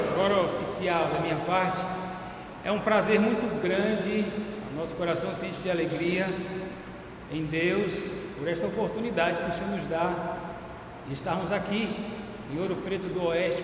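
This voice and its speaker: A Brazilian man, a guest preacher, greeting the church and telling a personal story in a lively, storytelling way. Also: sits high. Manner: warm storytelling, gets loud and excited at punchlines. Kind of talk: sermon opening with personal story. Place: large church hall. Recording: echoey church hall with long reverb, noisy.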